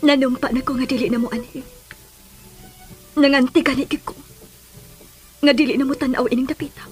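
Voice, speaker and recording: An actress playing a sorrowful, lovelorn middle-aged mother in a melodramatic radio drama, with a Filipino accent and a breathy, tearful delivery. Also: sits high, steady tone, fairly quick, close to an everyday voice. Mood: torn, wistful. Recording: radio drama with music underneath.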